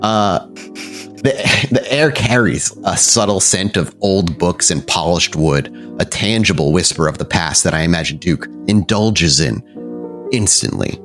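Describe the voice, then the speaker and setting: A man's voice: low voice, animated, measured pace, fairly bright tone, soft background music. A man working as game master, improvising descriptive, cinematic narration for a tabletop game and feeling out his words aloud.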